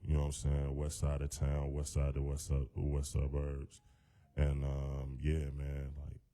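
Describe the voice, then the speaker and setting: A man with a very deep voice, a hip-hop artist talking as a guest in a radio studio in a loose, laid-back way full of fillers. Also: warm tone. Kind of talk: casual radio interview.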